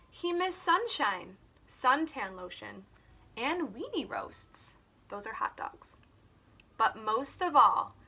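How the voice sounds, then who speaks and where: A woman reading a story aloud to young children, expressive, with simple explanatory asides. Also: fairly high pitch. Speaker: children's teacher and story reader.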